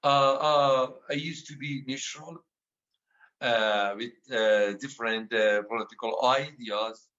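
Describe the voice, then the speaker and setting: Slow, halting Afghan poet with an Iranian accent. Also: male voice, mid-range voice, a bit bright, lo-fi sound. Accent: Iranian-accented English. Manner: halting, many filler pauses. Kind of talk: panel talk about his life and poetry.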